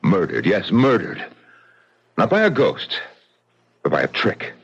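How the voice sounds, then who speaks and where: An actor playing a blunt, forceful middle-aged businessman and widower in a vintage radio mystery. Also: low-pitched, wildly swooping pitch, unhurried, husky, heavily acted. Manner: blunt and forceful, speaks his mind. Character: blunt, tough-minded male businessman widower. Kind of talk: vintage radio drama acting. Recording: dull old radio broadcast.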